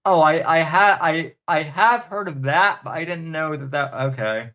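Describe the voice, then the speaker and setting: A man's voice: a little high, unhurried, sharp tone. A man hosting a casual podcast, rambling with hesitant restarts and crude humor. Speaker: podcast host. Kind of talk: casual chat.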